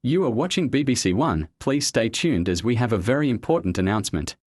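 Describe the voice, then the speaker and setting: A man's voice: mid-range voice, expressive, a little fast, darkish tone, no echo, clean studio sound. A man reading a scripted emergency announcement as a television continuity announcer.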